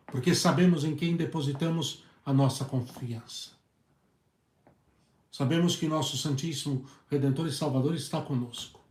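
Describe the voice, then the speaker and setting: A hoarse male priest with a Brazilian Portuguese accent, preaching earnestly in halting phrases and often repeating his words. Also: medium pitch, warm tone. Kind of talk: preached talk on a video channel. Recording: echoey room.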